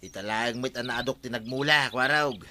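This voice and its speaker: An actor with an Ilocano accent playing a plain-spoken, grumbling older village farmer in a radio comedy drama. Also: male voice, mid-range voice, normal speed, noisy background, lightly acted. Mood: easygoing.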